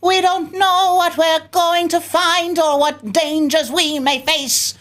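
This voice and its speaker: A woman's voice: very high-pitched, fairly even intonation, slow pace, a bit bright, soft background music, exaggerated voice. A woman playing a stern, commanding male spaceship captain, barking orders in a sci-fi radio play. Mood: stern, rousing. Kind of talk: cold-read 1950s sci-fi radio play acting.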